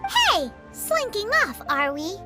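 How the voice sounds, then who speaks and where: A tiny, cheeky fairy companion pipes up in an extremely high, childlike voice. She is inquisitive and swings expressively like a cartoon game mascot, with loud music behind. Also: huge pitch swings, takes it slowly, full character voice. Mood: cheeky, suspicious. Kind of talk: cartoonish video game character acting.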